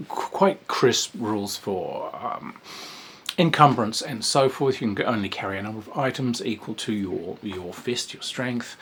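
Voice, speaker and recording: A man's voice: on the low side, a bit bright, slight background noise. A man giving a casual, rambling spoken review of a tabletop game, with sighs, whispered asides and exclamations.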